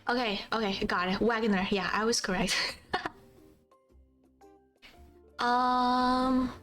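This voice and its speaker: A woman livestream host casually reading a fairy tale aloud, halting over old-fashioned words in a giggly, apologetic way. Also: fairly high pitch, fairly even intonation. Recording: faint background music. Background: soft background music.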